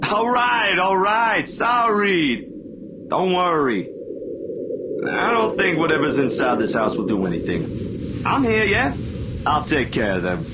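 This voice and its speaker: A man's voice: high voice, very animated, measured pace, very noisy. An actor playing an easygoing, teasing and protective young man with a casual manner in a horror visual novel. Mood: breezy, reassuring. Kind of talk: scripted visual novel dialogue.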